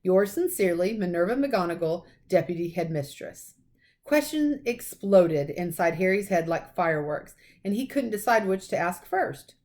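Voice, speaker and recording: A woman's voice: lowish voice, lively intonation, normal speed, a little mellow. Woman reading a story aloud. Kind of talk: expressive children's story narration.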